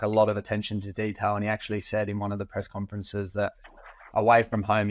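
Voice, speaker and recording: Male co-host, low and even, hesitant and thoughtful, dry recording. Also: dry recording. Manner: thoughtful, hesitant with many fillers. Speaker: podcast co-host. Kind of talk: casual podcast chat.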